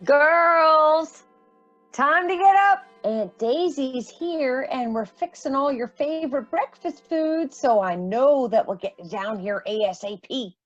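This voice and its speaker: A woman's voice: high-pitched, expressive, takes it slowly. A woman playing a cheerful, motherly figure in a radio play. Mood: motherly, cheery. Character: Marmee, cheerful motherly woman rousing her daughters. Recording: dull, band-limited, music underneath.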